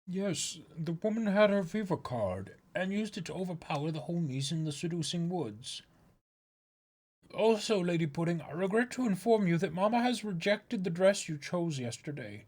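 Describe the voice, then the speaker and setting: A man's voice: high-pitched, expressive, moderate pace, a little mellow. Guy doing a fussy formal baron servant type, lightish voice, dutiful, comic reading. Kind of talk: dramatic comic-book reading.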